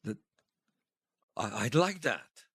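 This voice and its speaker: An actor playing a gentle, wistful older widower in an audio drama, teasing and tender, with a hesitant, stammering delivery. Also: male voice, medium pitch, lively intonation, slow, gravelly, heavy background noise. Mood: nervous, regretful. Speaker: interviewee telling his life story. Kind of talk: audio drama acting, personal reminiscence. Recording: music behind the voice.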